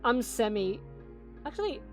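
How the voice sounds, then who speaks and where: A woman's voice: a little high, very animated, measured pace, soft background music. Chatty young woman streamer. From Philippines. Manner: chatty, rambling, self-correcting asides. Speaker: VTuber streamer. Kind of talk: casual let's-play commentary.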